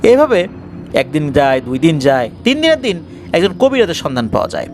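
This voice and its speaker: A man's voice: fairly high pitch, very animated, brisk, slight background noise. A man narrating a scripted ghost story in a dramatic storytelling style. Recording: music bed behind the voice.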